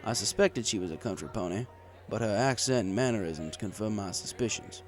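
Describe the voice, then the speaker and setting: A man's voice: on the low side, brisk. A man reading a story aloud in the first person as a bartender narrator. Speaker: audiobook reader. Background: music.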